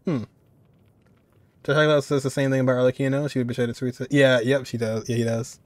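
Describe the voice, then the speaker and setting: A man giving casual, halting commentary as a streamer, often trailing off as he muses. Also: mid-range voice, level tone, no echo. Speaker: streamer reacting to game voice lines.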